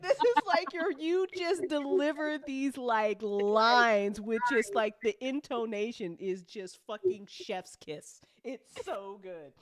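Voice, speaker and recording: A woman's voice: on the high side, takes it slowly, hardly any pauses. A woman hosting a casual after-show chat, gushing and joking with plenty of swearing. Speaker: host, writer and voice actor.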